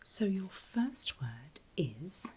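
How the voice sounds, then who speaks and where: Woman whispering softly, close to the mic. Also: on the low side, very animated, extremely slow. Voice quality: breathy unvoiced whisper. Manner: gentle, reassuring, checking in often. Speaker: ASMR performer playing an ear examiner. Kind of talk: whispered ASMR medical roleplay. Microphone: close ASMR microphone.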